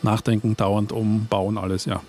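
A man, talking reflectively as an author and audio artist in an interview on a festival stage, often pausing to search for words. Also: lowish voice, little pitch movement, a little mellow. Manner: thoughtful, searching for words, trailing off.

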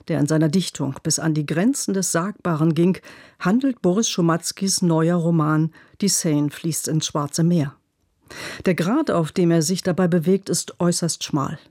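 A woman's voice: fairly low pitch, a little mellow, dry recording, clean studio sound. A woman narrating a scripted radio book review in a composed, literary manner. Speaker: literary critic.

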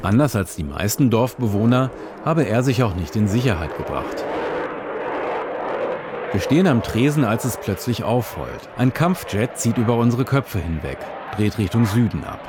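Man, radio reporter, lowish pitch, scripted narration, measured descriptive delivery. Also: expressive, heavy background noise.

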